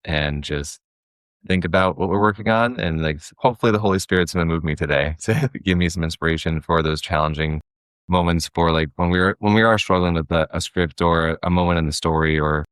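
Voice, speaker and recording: A man in his thirties talking as an interview guest, casual and reflective, somewhat halting. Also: deep voice, fairly even intonation, fairly bright tone, clean tone, no room sound, studio quality. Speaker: guest, audio drama creator.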